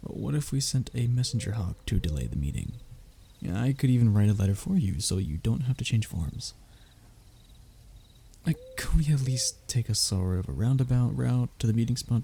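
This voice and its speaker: A man's voice: medium pitch, gravelly, dark tone, slight background noise. A man playing a young royal heir in a roleplay audio drama, formal and pompous in his wording but often faltering into nervous stammers as he addresses the listener. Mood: coaxing, hopeful.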